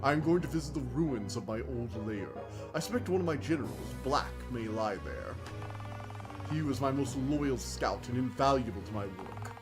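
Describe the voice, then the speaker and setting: A man streaming a video game, chatting excitably and reading the game text aloud, laughing often, with a slightly whiny voice. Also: medium pitch, moderate pace. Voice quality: self-described whiny voice. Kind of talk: casual let's-play commentary, reading game text aloud. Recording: game music behind.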